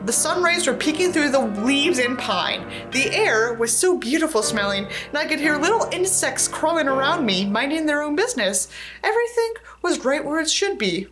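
A woman's voice: high-pitched, sing-song, moderate pace, music in the background. A young woman reading a book aloud as a commentary host, theatrical and sarcastic, with profane asides. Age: young adult. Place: indoors, small set with tinsel backdrop.

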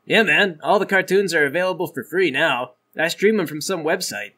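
Guy doing a cocky cyborg bro for a tabletop comedy, slangy n chill, kinda high and bright. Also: normal speed. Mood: breezy, cocky. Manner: slangy, breezy bro talk. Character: laid-back, cocky male cyborg vigilante dude.

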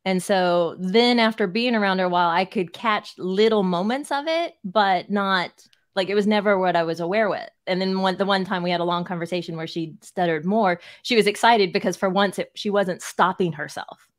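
A woman's voice: medium pitch, normal speed. A young woman co-hosting over a video call from home, talking in long rambling sentences full of "like" and "you know". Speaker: co-host, podcaster. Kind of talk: casual interview chat. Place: home room. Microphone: desk mic on a video call.

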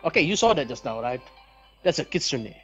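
A young man reads visual novel narration aloud as a let's player, deliberate and storybook-like, with casual asides. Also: mid-range voice, sing-song, slightly rough, soft background music.

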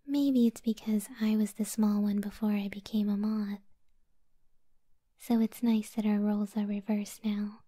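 A woman voicing a possessive, doting moth monster girlfriend in a soft, sweet, breathy ASMR whisper, close to the microphone. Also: mid-range voice, flat delivery, measured pace, no echo, lightly acted. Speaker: ASMR roleplay performer.

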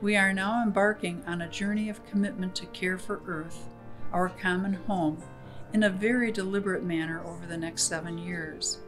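Lowish American woman over guitar music. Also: steady tone, normal speed. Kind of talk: scripted closing address.

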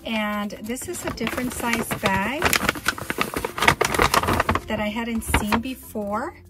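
A woman's voice: fairly high pitch, takes it slowly, loud music behind the voice. A woman chatting casually in a vlog, showing her purchases and gushing, often restarting her sentences.

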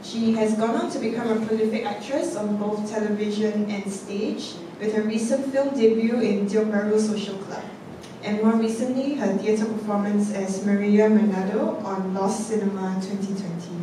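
A woman emcee reading out panel speaker bios on a theatre stage, measured and steady from her notes with the odd um. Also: medium pitch. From Singapore. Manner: measured, reads from notes with occasional ums. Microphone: handheld mic, heard from a distance. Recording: echoey hall, voice from far off, noisy.

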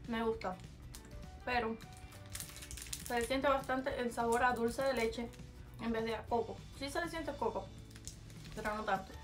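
A woman's voice: fairly high pitch, a little slow, pauses often, soft background music. Chatty young Cuban woman vlogging. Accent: Cuban Spanish. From Cuba. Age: young adult. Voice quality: chatty, mixes in English words. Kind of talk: casual vlog, taste test. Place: small bedroom, indoors.

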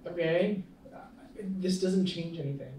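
A young man reading the part of an earnest, hesitant college student at a film table read, speaking in a halting way. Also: fairly high pitch, natural voice. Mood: doubtful. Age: young adult. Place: small meeting room, indoors. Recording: echoey room, distant mic, clear noise.

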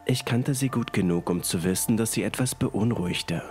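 A man's voice: on the low side, moderate pace, darkish tone. A young man reading a scripted first-person horror story as narrator. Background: music.

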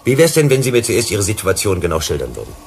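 A man's voice: lowish voice, wildly swooping pitch, creaky, a little hiss or hum, heavily acted. A man playing a polite, unruffled, self-assured government psychologist in a German radio drama. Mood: conciliatory. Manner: polite, unruffled, measured in argument. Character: composed, self-assured male government psychologist sent as mediator.

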